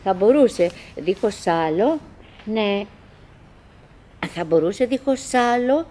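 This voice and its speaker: An actress playing a chattering, wistful, nagging wife who clings to cheer, in halting, repetitive theatrical monologue for an absurdist radio play. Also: female voice, medium pitch, expressive, warm tone, close to an everyday voice. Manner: halting, repetitive, theatrical, mimicking remembered dialogue.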